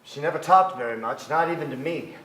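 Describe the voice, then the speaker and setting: A man's voice: a little high, fairly quick, a bit bright, natural voice. An actor playing a brooding, restless son who recalls his family in a theatrical staged reading. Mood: wistful, remembering. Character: brooding, restless adult son, narrator of memories. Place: theatre stage before an audience. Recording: echoey theatre stage, some noise.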